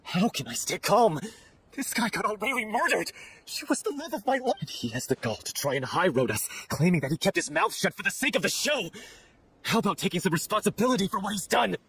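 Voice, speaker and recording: An actor playing a hot-headed, grieving lovelorn stage performer, intense and melodramatic, in a video game. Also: male voice, high-pitched, very animated, brisk, a bit bright, a little hiss or hum, full character voice. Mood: furious. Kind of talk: dramatic video game acting.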